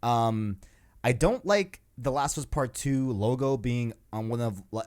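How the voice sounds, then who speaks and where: A man's voice: mid-range voice, measured pace, a little mellow. A man chatting informally and ramblingly as host of a gaming podcast, with slangy asides. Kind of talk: casual gaming podcast chat.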